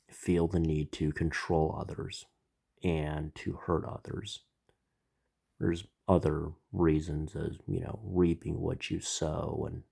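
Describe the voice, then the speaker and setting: A man hosting his own channel, speaking with a stuffy, slightly nasal voice in a slow, reflective spiritual monologue. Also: very deep voice, creaky, dark tone, breathy edge. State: stuffy, congested. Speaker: channel host.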